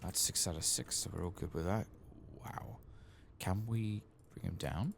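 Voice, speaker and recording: A man giving soft-spoken ASMR game commentary, murmuring and at times drifting toward a whisper. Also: low voice, moderate pace, gravelly, background noise. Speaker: gaming video host.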